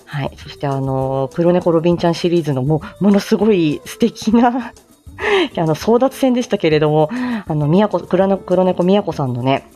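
A woman's voice: fairly low pitch, moderate pace, mellow, faint music behind. A woman from Japan chatting casually as a livestream host, in a giggly, self-deprecating manner. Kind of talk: casual morning livestream chat.

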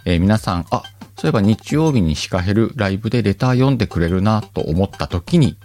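A man's voice: on the low side, animated, fairly quick, a little mellow, faint music behind. Chatty man reading letters. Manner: chatty, laughs easily, comments aloud on letters as he reads them. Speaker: podcast host reading listener letters. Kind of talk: casual chat. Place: home recording.

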